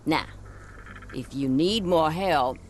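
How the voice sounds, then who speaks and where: An actress playing a sly, self-assured informant in a video game. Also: female voice, low-pitched, slow pace, slight background noise. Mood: sly, easy.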